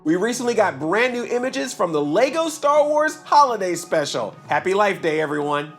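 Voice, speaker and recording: A man co-hosting a talk show, speaking as an enthusiastic, excitable fan. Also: sits high, moderate pace, soft background music. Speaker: co-host.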